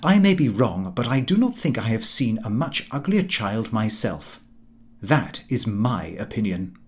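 A man's voice: fairly low pitch, sing-song, unhurried. A man reading a comic diary novel aloud as scripted narration, in a formal, fussy first-person manner. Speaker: audiobook narrator.

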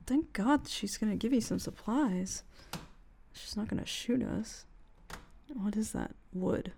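A woman playing an affectionate, curious, easily horrified tomboy girlfriend in a casual, softly spoken ASMR roleplay. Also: on the high side, vocal fry, exaggerated voice. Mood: amused, curious. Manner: soft, hushed, unhurried, casual with hesitant restarts. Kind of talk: girlfriend roleplay ASMR audio, casual improvised-sounding chat.

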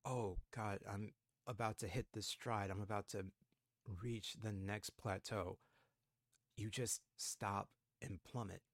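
A man's voice: lowish voice, no room sound, clean studio sound. A man in his twenties co-hosting a podcast, reflecting aloud in a casual, thoughtful way with frequent fillers. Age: 20s. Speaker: podcast co-host. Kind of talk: casual conversation.

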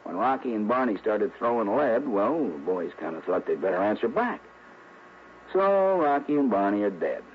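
A brash male police lieutenant with a lively, swinging delivery, friendly and ribbing. It is vintage 1960s radio drama, slightly muffled with faint noise. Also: lowish voice, normal speed. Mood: exasperated.

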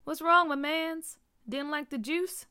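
Woman playing a friendly, bright-voiced uncle. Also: high voice, slow, voice pushed up, full character voice. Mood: concerned. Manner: slangy, familiar, encouraging. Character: friendly, easygoing adult uncle who runs a shop. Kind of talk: children's storybook character voice.

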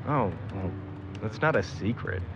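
A young musician at the wheel in an audio drama, with a lowish voice and dry, bantering delivery. The sound is dull, with loud vehicle noise behind him. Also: male voice, expressive, a little slow. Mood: teasing. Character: laid-back young musician driving his own van, teasing. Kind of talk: naturalistic audio drama acting.

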